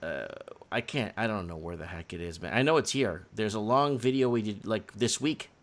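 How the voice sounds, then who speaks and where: A man's voice: fairly low pitch, normal speed. A man hosting a casual live chat, speaking informally and directly to his viewers. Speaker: livestream host.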